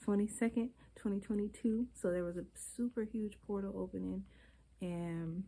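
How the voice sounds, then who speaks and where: A woman's voice: medium pitch, fairly even intonation, moderate pace, mellow, poor audio. A woman vlogger chatting casually and candidly straight to her viewers, stuffy from a cold, rambling from one thought to the next. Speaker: beauty vlogger.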